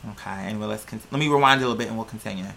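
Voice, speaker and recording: A woman's voice: very deep voice, very fast, vocal fry, a bit bright, slight background noise. A woman giving casual, blunt, exasperated reaction commentary with swearing, recorded indoors at a computer microphone. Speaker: vlogger reacting to a video. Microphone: plug-in computer microphone.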